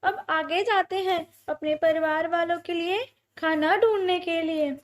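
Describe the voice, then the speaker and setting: A kind, hard-working little sparrow mother from a children's moral cartoon, acted by a woman. The voice is very high and lifted well above ordinary talk. It is earnest and helpful, with a chiding edge. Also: fairly even intonation, unhurried. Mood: reassuring.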